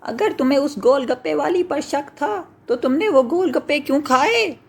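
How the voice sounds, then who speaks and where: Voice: high voice, expressive, normal speed. A performer voicing an anxious, protective village father, fretful in manner, in a horror storybook. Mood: alarmed.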